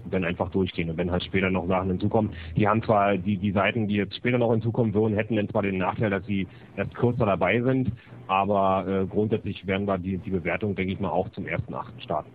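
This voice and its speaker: A man from Berlin with a lowish voice talks haltingly, thinking through his answers as he goes. His voice comes through a thin, phone-like call line with faint hiss behind it. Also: steady tone. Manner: hesitant, thinking aloud. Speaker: guest, project organizer.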